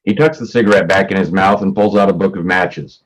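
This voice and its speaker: Male narrator for a staged reading: low pitch, scripted scene and action descriptions. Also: fairly quick, poor audio. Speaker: stage directions reader.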